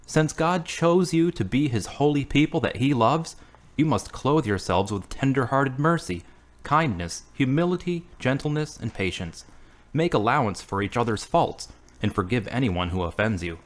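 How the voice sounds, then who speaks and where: A man playing an earnest, devout man in an amateur audio drama, speaking reflectively with gentle humor. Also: medium pitch, expressive, moderate pace, close to an everyday voice.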